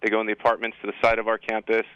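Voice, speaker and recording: A man's voice: on the low side, monotone, rapid-fire, bright, forceful tone, clear, resonant tone, no room sound, dull audio. A man speaking as a school administrator guest in an interview, explaining things in an assured way. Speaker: high school assistant principal guest. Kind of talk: interview answers.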